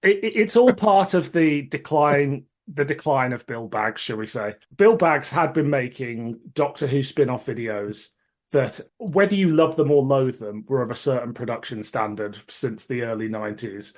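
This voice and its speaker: A man's voice: mid-range voice, moderate pace. A British man hosting a podcast, moving between prepared notes and chat. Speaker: podcast host. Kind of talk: podcast talk, reading prepared notes and chatting.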